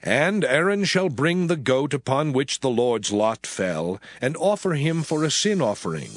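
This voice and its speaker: A man narrating scripture from a script in a measured, formal way. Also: medium pitch, very animated. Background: music.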